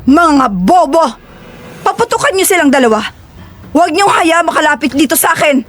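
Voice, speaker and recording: A woman's voice: extremely high pitch, animated, normal speed. A woman playing a treacherous, imperious bandit leader in an audio drama, full of contempt. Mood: furious, barking.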